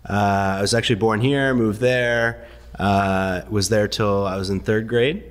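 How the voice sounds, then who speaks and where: A man raised partly in Iran, first language Farsi, telling his story as a guest in a relaxed, laughing way with many ums. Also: fairly low pitch, a little slow, smooth, long reverb tail. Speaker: guest, investor. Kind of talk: casual interview storytelling.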